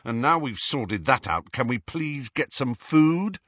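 A man's voice: mid-range voice, wildly swooping pitch, moderate pace, sharp tone, slightly rough, no echo, dull audio, voice pushed up, heavily acted. A man voicing an irritable, sarcastic, hungry teenage wizard boy in an audiobook story. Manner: irritable, snarling.

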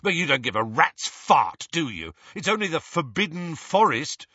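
A resentful teenage boy wizard shouts and sneers with raised, bitter energy, as a man reading the storybook puts him on. His voice is bright and husky, and the recording sounds a little dull. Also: fairly high pitch, sing-song, normal speed, no echo, pitched above the performer's own voice, heavily acted. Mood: furious.

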